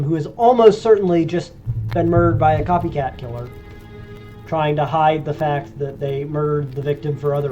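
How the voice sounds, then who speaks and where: A man with a congested, phlegmy voice, talking through a video game playthrough in a chatty, joking way. His voice sits a little high, and faint game music runs behind him. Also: dark tone, lo-fi sound. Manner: chatty and joking, rambling recaps. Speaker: let's play streamer. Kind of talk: casual gameplay commentary.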